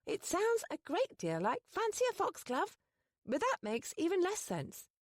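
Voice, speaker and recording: Brief: woman playing a flustered, earnest young lady, high and fluttery, lively swings, audiobook. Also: measured pace, no echo, clean studio sound, full character voice.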